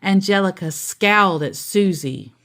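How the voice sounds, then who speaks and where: A woman's voice: mid-range voice, a little slow, fairly bright tone. A woman reading a children's story aloud expressively, with casual asides along the way.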